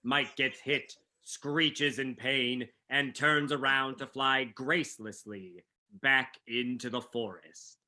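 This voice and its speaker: A man's voice: medium pitch, steady tone, slow, pushed and bright. A man reading scripted narration for a staged play reading.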